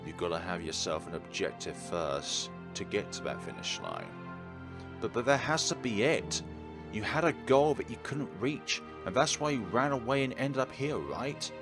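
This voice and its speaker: A man hosting a game playthrough, reading the text aloud and commenting in an earnest, deliberate, theatrical way. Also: medium pitch, lively intonation, over music. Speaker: video game playthrough host. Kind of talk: dramatic read-aloud of game text with his own commentary.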